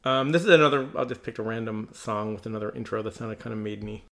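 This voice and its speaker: A man's voice: lowish voice, fast talker, vocal fry. A man co-hosting a podcast, chatting loosely about music with plenty of fillers and restarts. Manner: loose and rambling, many fillers. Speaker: podcast co-host. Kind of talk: casual chat about heavy metal.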